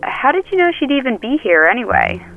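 Through a narrow phone-line filter comes a woman acting a brash, tough young woman in an audio drama. Her voice is creaky, her delivery blunt, wry and teasing. Faint music sits behind her. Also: a little high, huge pitch swings, brisk. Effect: phone call filter. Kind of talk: scripted audio drama, naturalistic phone dialogue. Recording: band-limited phone line effect.

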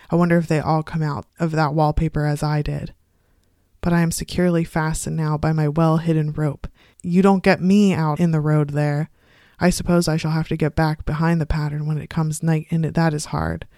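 An unhinged confined woman, as a reader acts her out, tells her story in the first person. She is secretive and defiant, confiding in a measured, mid-pitched voice, recorded very dry. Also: darkish tone, no room sound, natural voice. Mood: confiding, unsettled.

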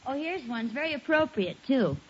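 An actress playing a sensible, gently wry suburban wife in a 1940s radio sitcom. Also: female voice, high voice, animated, a little fast, slight background noise. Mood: gently teasing. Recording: dull vintage 1940s radio recording.

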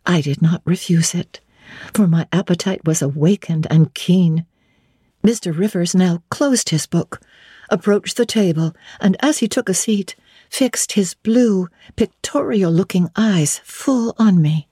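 A woman narrating a classic novel as a dramatic reading, with measured, deliberate and formal phrasing. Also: lowish voice, dark tone, breathy edge, no echo, clean studio sound. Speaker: audiobook narrator.

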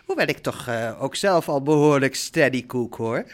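A woman's voice: very deep voice, lively intonation, moderate pace. An actress playing a cosy, chatty older mother in a radio play, rambling and hesitant as she soothes her grown children. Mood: chatty, nostalgic. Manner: hesitant, rambling, repeating herself. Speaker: actor in an audio drama. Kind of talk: naturalistic radio play acting.

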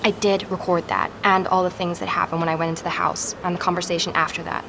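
An actress playing an earnest, rattled young woman investigator in a naturalistic audio drama, speaking haltingly as she records her search. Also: fairly low pitch, little pitch movement, gravelly, music in the background. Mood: troubled, explaining. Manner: hesitant, halting, with repeated words. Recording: street and vehicle noise behind.

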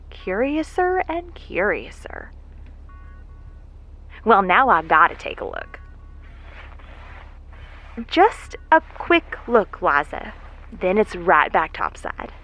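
A woman's voice: mid-range voice, a bit bright. An actress playing a lone rover worker, a chatty, curious woman who mutters to herself in a folksy way in an audio drama. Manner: folksy, muttering to herself, breathy sighs and hesitations.

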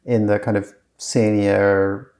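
A man's voice: lowish voice, flat delivery, slow pace, mellow. A man being interviewed in casual conversation, talking in a loose, rambling way with lots of fillers and restarts. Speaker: course creator being interviewed.

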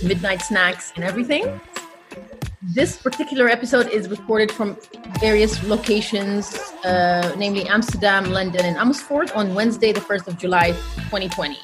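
A woman's voice: medium pitch, brisk, music in the background. A woman hosting a casual podcast, with playful joking asides. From Netherlands. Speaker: podcast host.

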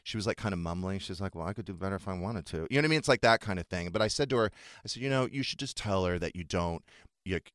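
A man telling gossipy stories in casual comedic radio chat, animated and swearing freely. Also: deep voice, racing, no echo, clean studio sound. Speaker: talk show host. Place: radio studio. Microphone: close studio microphone.

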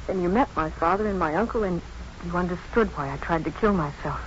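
An actress playing an anxious, wry young expectant wife in 1950s radio drama, with a halting, self-mocking way of talking. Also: female voice, lowish voice, lightly acted. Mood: bitter, sad. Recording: dull, faintly noisy 1950s radio broadcast.

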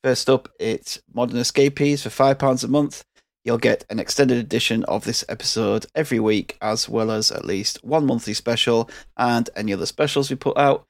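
A man's voice: mid-range voice, normal speed, gravelly, no room sound. A man co-hosting a podcast, reading a sponsor plug with dry wisecracks. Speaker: podcast co-host. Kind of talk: casual chat.

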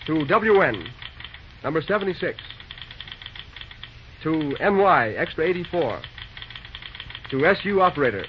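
Busy railroad night dispatcher: male, fairly high voice, brisk folksy slang, vintage radio play with noisy sound. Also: animated. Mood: businesslike. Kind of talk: old-time radio drama acting. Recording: muffled, noisy old radio recording.

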